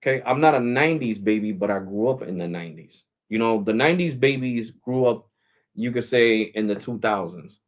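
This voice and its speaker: A man's voice: fairly low pitch, brisk. Rambling man in his forties, lo-fi sound. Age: 40s. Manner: rambling, says "bro" and "guys" a lot; switches to Spanish with family. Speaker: live stream host. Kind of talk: casual live stream chat.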